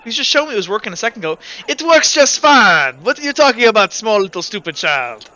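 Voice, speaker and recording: A man's voice: high-pitched, very animated, fast talker, sharp tone, dull audio, pitched above the performer's own voice, full character voice. A man voicing a shifty, fawning con-man knife merchant in a tabletop game, stammering, overeager and easily flustered. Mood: indignant.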